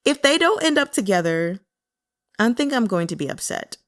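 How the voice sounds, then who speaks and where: A woman's voice: on the high side, big pitch swings, normal speed, a bit bright. A woman chatting casually and candidly about a novel as a book reviewer, in a conversational, mildly exasperated way. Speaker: book reader and reviewer with an audience. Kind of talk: casual chat commentary on a novel.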